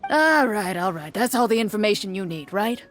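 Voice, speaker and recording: A woman voicing a gruff, greedy, cynical male merchant in a video game, curt and dismissive. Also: mid-range voice, expressive, brisk, soft background music, close to an everyday voice. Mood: cynical, grudging. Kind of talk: video game character acting.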